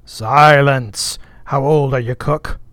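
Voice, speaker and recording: A man's voice: medium pitch, fairly even intonation, slow pace, a bit bright, no echo, pitched above the performer's own voice. A man voicing a bossy ship's officer who teases and commands with mock solemnity in an audiobook. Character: bossy, mocking ship's officer, jauntily interrogating. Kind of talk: audiobook character voice.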